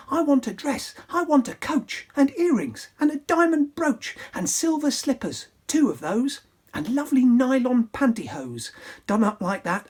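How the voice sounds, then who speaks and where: A woman's voice: a little mellow, slightly rough. A woman voicing Cinderella as a demanding young woman who whines and bosses. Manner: whiny, shrill, bossy.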